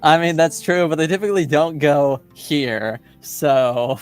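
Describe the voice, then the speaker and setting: Clear-voiced, halting male game master. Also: fairly high pitch, soft background music. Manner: hesitant, halting, casual. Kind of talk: improvised tabletop game narration.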